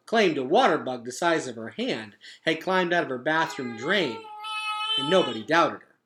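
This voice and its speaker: A man reading a children's book aloud as a teacher would, with dramatic, expressive narration. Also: fairly high pitch.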